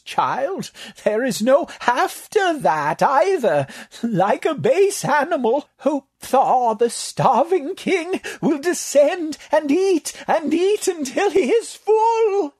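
A shrill, raspy monster librarian with a voice pitched far up and a cackle in it. He gloats slyly at the doom he foretells, in slow, drawn-out words. It is a dry, close audiobook read. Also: very animated, darkish tone, no room sound, heavily acted. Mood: gloating.

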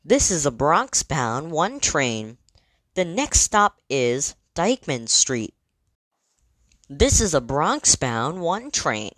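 Casting: female transit announcer, low pitch, slow and formal, scripted delivery, lilting intonation. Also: very animated, fairly bright tone, no room sound. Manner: measured, clearly segmented, formal. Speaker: train announcer.

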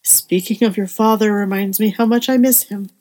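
A woman playing a gentle, devout, wise mother in an audio play, speaking in an earnest and measured way. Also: medium pitch, steady tone, natural voice. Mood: wistful, steadfast. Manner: earnest, measured, tender counsel. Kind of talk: audio play acting of a period novel.

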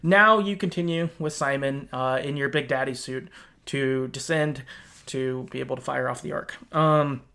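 Casual, halting male podcast host. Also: medium pitch, gravelly, bright, forceful tone. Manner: halting, thinking aloud, informal. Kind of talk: casual conversational game discussion.

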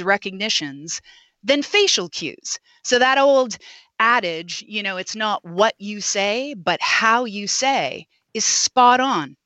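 A woman voice coach speaks in a slow, deliberate way, full of demonstrations. Her voice sits at a middle pitch. The sound is dry and close. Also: no room sound. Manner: demonstrative, animated explaining. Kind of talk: podcast interview guest.

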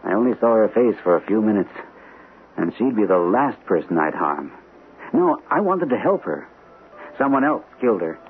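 Troubled army captain, tinny old radio. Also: male voice, lowish voice, sing-song, normal speed, a little hiss or hum. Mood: uneasy. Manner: earnest, anxious. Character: troubled army captain recovering from shell shock. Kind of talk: vintage radio mystery acting and first-person narration.

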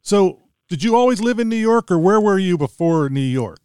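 Male podcast host, American, middle-aged, relaxed interview chat, slightly high clean voice. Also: moderate pace, clear, resonant tone, no room sound. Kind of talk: casual conversational interview.

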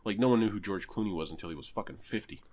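A middle-aged man hosting a podcast, chatting casually with an animated, joking manner and frequent laughs. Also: lowish voice, racing. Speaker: podcast host. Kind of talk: casual chat.

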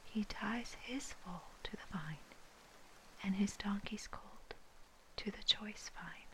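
Whispering woman reading slowly. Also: medium pitch, poor audio. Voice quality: soft, breathy unvoiced whisper. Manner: slow, measured, phrase-by-phrase reading. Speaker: scripture reader. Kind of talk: whispered ASMR Bible reading.

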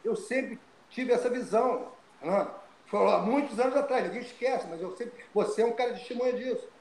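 An older man from Brazil, telling stories of his start in fighting as an interview guest, animated and often restarting his words. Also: extremely high pitch, fairly quick, reverberant, a little hiss or hum, rough recording. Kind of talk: storytelling interview.

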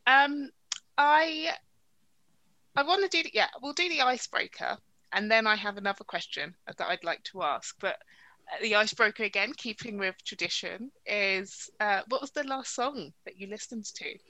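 A woman acting as guest interviewer on a podcast, speaking earnestly and haltingly, often repeating words as she asks her questions. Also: mid-range voice, bright, forceful tone, no room sound, low-quality recording.

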